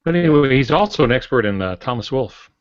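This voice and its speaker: A man's voice: medium pitch, animated, fast talker, no room sound. Older man, dry dull sound, casual co-host. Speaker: podcast co-host. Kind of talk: casual chat.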